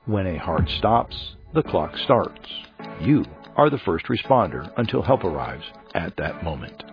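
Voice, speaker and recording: A man's voice: low voice, very animated, measured pace. A man reading a scripted public service ad as a voice-over, in an urgent, rallying way. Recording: lo-fi audio with music behind.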